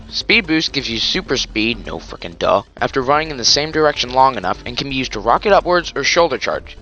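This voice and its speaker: A man's voice: mid-range voice, bright, forceful tone. A man giving scripted commentary for a gaming video, sarcastic and profane, with ranting asides.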